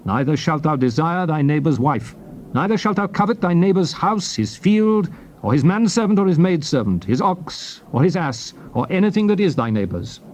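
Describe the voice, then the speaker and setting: A man giving a solemn, dramatized reading of King James scripture, declaiming it in deliberate phrases. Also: on the high side, animated, darkish tone. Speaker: scripture reader. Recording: noisy old cassette tape recording.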